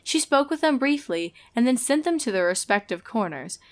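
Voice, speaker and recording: A woman's voice: on the high side, lively intonation, normal speed. A woman reading a fan fiction story aloud as narrator, in a scripted storytelling style.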